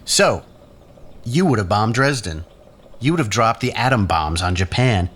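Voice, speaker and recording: A man's voice: lowish voice, expressive, a little slow, fairly bright tone. A man playing a sardonic, morally challenging character in an audio drama, with a wry, pointed delivery. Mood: accusing.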